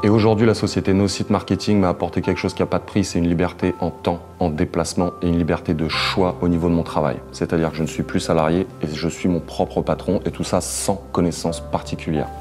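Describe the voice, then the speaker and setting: A man's voice: deep voice, flat delivery, fast, hardly any pauses, music in the background. A man in his thirties giving a promotional testimonial as an entrepreneur. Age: 30s.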